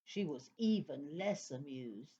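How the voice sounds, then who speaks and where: Slow, theatrical female storyteller. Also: low voice, expressive, mellow, husky, lo-fi sound. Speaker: narrator. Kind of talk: scripted storybook narration.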